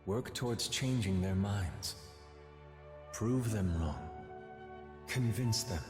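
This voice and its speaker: Soft, deep, serene master. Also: male voice. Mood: serene, encouraging. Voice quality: soft, soothing. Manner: measured, calm, persuasive. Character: serene, gentle male master of demon slayers. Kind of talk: anime game dialogue. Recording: very echoey hall, music behind.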